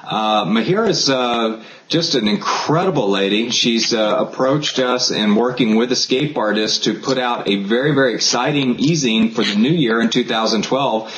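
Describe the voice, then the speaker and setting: A middle-aged man hosting a casual video-call interview from his study, friendly and halting with many fillers. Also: mid-range voice, a bit bright. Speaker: interview host. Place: home study, indoors. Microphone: video call webcam. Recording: echoey room, faint background noise.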